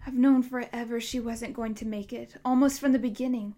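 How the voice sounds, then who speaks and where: A woman's voice: on the high side, a little slow, mellow. A woman voicing a grieving, guilt-ridden teenage boy who pleads with a choked, rising voice. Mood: distraught.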